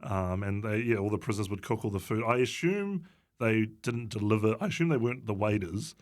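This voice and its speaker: A man with a New Zealand accent and a fairly low voice talks casually as a podcast guest. He is hesitant and halting, with a wry comic touch to his storytelling. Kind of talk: casual chat, comic anecdotes.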